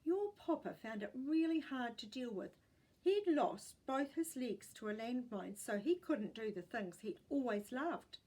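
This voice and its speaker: A woman with a New Zealand accent reading a children's story aloud in an expressive storytelling style. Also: fairly high pitch, measured pace, low-quality recording.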